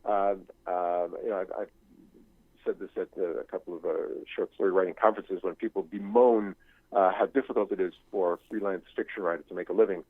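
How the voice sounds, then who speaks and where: Phone-line audio, older man, low pitch, halting reflective interview answers, rambling delivery, dry sound. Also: steady tone, slightly rough, no room sound. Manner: halting, searching for words, rambling. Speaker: interview guest, novelist and screenwriting teacher.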